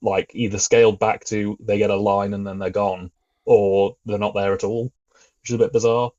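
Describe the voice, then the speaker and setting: Lowish-voiced man on slightly dull audio. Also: level tone, normal speed, warm tone. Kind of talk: casual review chat.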